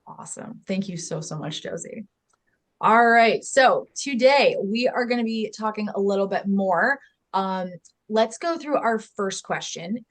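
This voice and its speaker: Woman hosting a webinar on a video call, upbeat and chatty, middle pitch. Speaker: webinar host.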